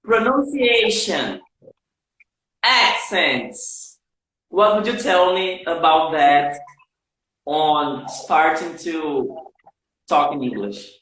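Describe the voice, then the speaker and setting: Slow-talking man in a very echoey room. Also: fairly high pitch, animated, bright, forceful tone. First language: Portuguese. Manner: slow, deliberate questions with restarts. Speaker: host, English teacher. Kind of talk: casual interview.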